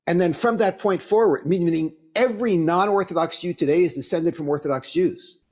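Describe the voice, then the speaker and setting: A man giving a religious lecture as a rabbi, with halting, deliberate phrasing and emphatic pauses. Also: high-pitched, a little mellow.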